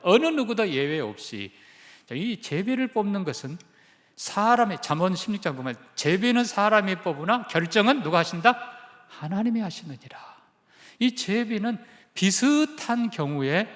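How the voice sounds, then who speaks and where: Man preaching in a reverberant church. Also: on the high side. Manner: emphatic, asks the congregation questions. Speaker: pastor. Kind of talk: sermon. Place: church sanctuary.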